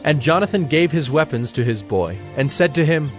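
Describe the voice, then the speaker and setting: A man's voice: a little high, expressive, normal speed, faint music behind. A man narrating a dramatized Bible story from a script.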